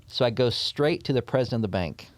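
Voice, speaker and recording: A man's voice: medium pitch, expressive, quick pace, no echo. A man with a mid-range voice, a guest on a podcast, telling anecdotes in an earnest, plain way. The conversation is casual, and the recording is dry and close-miked.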